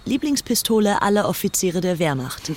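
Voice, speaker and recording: A woman's voice: fairly low pitch, big pitch swings, moderate pace, very noisy. An actress playing a self-assured, brazen young upper-class English woman devoted to a dictator, narrating her diary in a German audio docudrama. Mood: smug, delighted.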